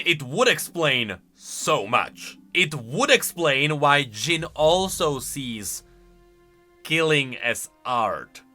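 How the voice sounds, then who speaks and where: A man giving casual, opinionated reaction commentary as a gaming lore commentator, in an animated manner. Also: on the high side.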